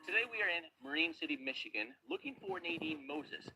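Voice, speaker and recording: A man's voice: a little high, animated, brisk, music in the background, low-quality recording. A man presenting a search-dive video, explaining the details step by step like a briefing. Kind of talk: on-location video narration.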